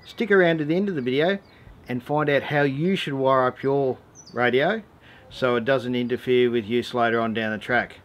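A man's voice: medium pitch, moderate pace. An Australian man in his forties giving a casual, matter-of-fact DIY tutorial, speaking in a laid-back, slangy way. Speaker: host of a how-to four-wheel-driving channel. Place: outdoors in a driveway and around vehicles. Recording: noticeable background ambient noise.

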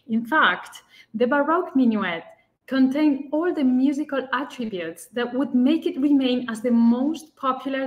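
Woman reading a lecture over video call. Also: fairly high pitch. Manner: careful, measured delivery with occasional restarts. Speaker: fortepianist presenter. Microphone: webcam on a video call.

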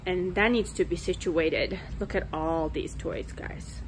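A woman in her thirties chats informally through a home vlog in an unhurried way. Her voice sits on the low side for a woman. The handheld recording in an unfinished basement carries clear background noise. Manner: informal, conversational. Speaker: family vlogger. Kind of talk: casual home tour vlog narration. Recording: clearly noisy handheld camera audio.

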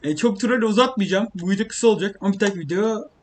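A man's voice: sits high, rapid-fire. A young man gives casual, informal gameplay commentary, rambling and thinking aloud. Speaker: gaming video creator. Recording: clearly noisy home recording, keyboard clicks. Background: typing and keyboard clicks.

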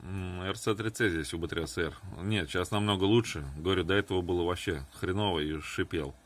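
Male ham radio operator, very low voice, informal chat through a humming, noisy FM link. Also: fairly quick. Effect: voice heard over two-way radio.

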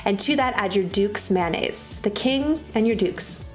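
Woman from the Midwest, 30s, cheerful cooking voice-over, clear music bed underneath. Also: mid-range voice, expressive, unhurried. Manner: cheerful, chatty, walks through recipe steps. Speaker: cooking channel host. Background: background music.

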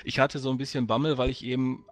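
A middle-aged man in a casual podcast chat, speaking haltingly with many hesitation sounds. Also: medium pitch, little pitch movement. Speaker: audio drama sound editor.

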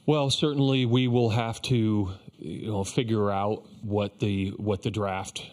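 A low-voiced man in his fifties, a baseball coach, takes reporters' questions at a postgame press conference. He speaks in a flat, even line, measured and reflective, often restarting with "you know." His voice comes through a table microphone in an indoor press room.

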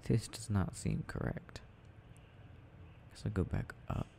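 Man as drowsy gamer boyfriend: tender, hesitant murmur, mellow and very gravelly, intimate ASMR delivery. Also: on the low side, a little slow, a little hiss or hum. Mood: absorbed, mildly puzzled. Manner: murmuring, dropping into whispers, hesitant self-talk. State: sleepy. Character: sleepy, tender, sensitive boyfriend rambling while gaming. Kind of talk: soft intimate ASMR roleplay, unhurried rambling.

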